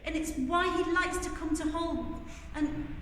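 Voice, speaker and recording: Eager, high-voiced little children pleading and fussing in a storybook tale, as a woman reads them out. The voice rings around a large echoey hall. The mic sits far off, with faint noise underneath. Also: measured pace. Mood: worried. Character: excited group of young children.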